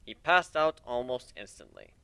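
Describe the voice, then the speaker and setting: A man's voice: medium pitch, sing-song, normal speed, vocal fry, pushed and bright, smooth, dry recording. A man narrating a piece of fan fiction as a scripted dramatic reading.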